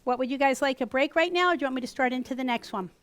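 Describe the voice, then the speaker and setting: A woman with a US East Coast accent teaching a gourd craft class live to a small group, talking loudly and chattily. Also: sits high, very fast, no room sound. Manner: loud, chatty, animated. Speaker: gourd art instructor. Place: workshop room with a small audience.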